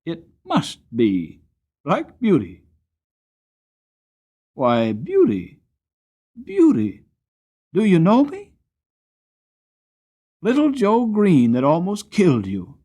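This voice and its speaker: A man voicing an excitable, kindly young groom in a storybook, exclaiming and musing with affection. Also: high-pitched, slow, pauses often, pitched above the performer's own voice, heavily acted. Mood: amazed, excited. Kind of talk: dramatic storybook character reading.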